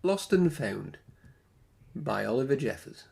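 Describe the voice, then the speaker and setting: A man reading a children's picture book aloud as an expressive storyteller, with dramatic phrasing and drawn-out words. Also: medium pitch, vocal fry, a little hiss or hum.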